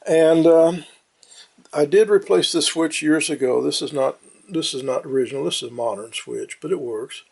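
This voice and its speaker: A man giving an informal, halting, plain-spoken and folksy technical explanation as he demonstrates an antique radio. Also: on the high side, vocal fry, a little hiss or hum.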